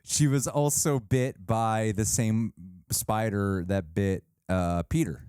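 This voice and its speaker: A man's voice: lowish voice, takes it slowly, dark tone, smooth, no echo, studio quality. A man co-hosting a reaction show, talking casually in a rambling, self-deprecating way with some swearing. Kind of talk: casual reaction commentary and discussion.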